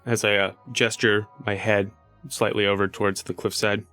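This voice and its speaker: A man's voice: fairly low pitch, little pitch movement, normal speed, a bit bright. A man playing a tabletop game, improvising his character's actions casually and thinking aloud. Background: faint music.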